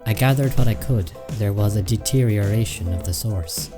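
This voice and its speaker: An actor playing a sober, reasonable imp scout in a radio play, speaking in an understated, formal, matter-of-fact way. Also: male voice, on the low side, moderate pace, close to an everyday voice. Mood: calm, analytical. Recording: faint music and insect chirps behind.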